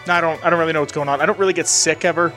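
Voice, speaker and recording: A man's voice: on the high side, steady tone, racing, sharp tone, soft background music. A man hosting a casual podcast, rambling in a loose, chatty way while stuffy from a sinus infection. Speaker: podcast host. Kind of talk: casual solo podcast chat.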